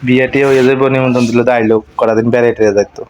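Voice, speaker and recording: A man's voice: medium pitch, flat delivery, very fast, darkish tone, a little hiss or hum. A man with an eastern Bengali rural dialect chatting casually, colloquial and questioning, often repeating himself. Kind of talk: casual phone chat.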